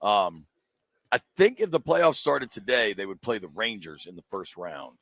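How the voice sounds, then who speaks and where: Gravelly sports radio host, teasing. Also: male voice, fairly low pitch, big pitch swings, a bit bright, no room sound, studio quality. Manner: joking, teasing, rapid bracket rundown. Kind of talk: casual sports radio banter. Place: sports bar, live broadcast.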